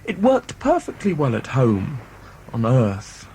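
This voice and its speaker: A man's voice: mid-range voice, wildly swooping pitch, takes it slowly, warm tone, slight background noise, exaggerated voice, poor audio. An actor playing a frustrated, helpless young man in an audio drama, questioning and argumentative. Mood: exasperated. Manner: exasperated, questioning, emotionally volatile. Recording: amateur cassette drama, music underneath.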